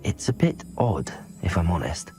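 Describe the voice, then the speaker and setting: A sincere, lively young hero. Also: male voice, low voice, moderate pace, soft background music. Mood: confiding, earnest. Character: sincere, plucky teenage schoolboy hero. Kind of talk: video game cutscene acting.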